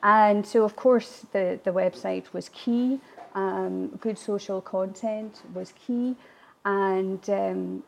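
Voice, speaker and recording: Gravelly woman in chatty interview. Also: medium pitch, fairly even intonation, takes it slowly. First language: Irish Gaelic. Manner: chatty, warm laughs, frequent fillers and restarts. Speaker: guest speaker, distillery attraction manager. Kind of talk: conversational interview answers.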